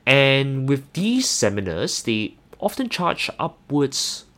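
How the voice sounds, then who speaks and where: A young man with a Singaporean accent hosting a solo personal finance podcast, earnest and measured, with occasional hesitant fillers. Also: medium pitch, sing-song, a bit bright. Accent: Singaporean English. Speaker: podcast host.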